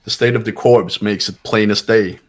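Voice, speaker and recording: Man acting a low, coolly analytical young sleuth. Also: fairly even intonation, soft background music, lightly acted. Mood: cool, certain. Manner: deliberate, certain, formal. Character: cool, analytical, authoritative young male student sleuth. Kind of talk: amateur voice acting of a video game.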